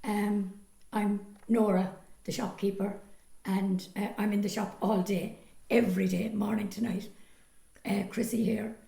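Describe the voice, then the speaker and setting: Creaky, mellow Irish woman. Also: medium pitch, little pitch movement, unhurried, reverberant. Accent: Irish, County Cork. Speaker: amateur radio drama actress, former radio presenter. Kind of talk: casual interview chat.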